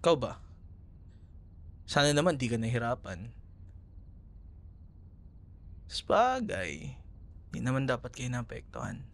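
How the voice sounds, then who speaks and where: Man acting as friendly ex-boyfriend, Filipino accent, mid-pitched, very animated intonation, teasing, casual, intimate audio roleplay. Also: moderate pace, creaky. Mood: teasing, nostalgic. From Philippines.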